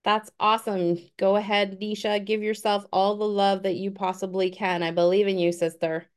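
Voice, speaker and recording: A woman's voice: medium pitch, flat delivery, moderate pace, clean tone. A woman in her fifties giving an earnest, encouraging motivational talk on a group call. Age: 50s. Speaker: guest speaker.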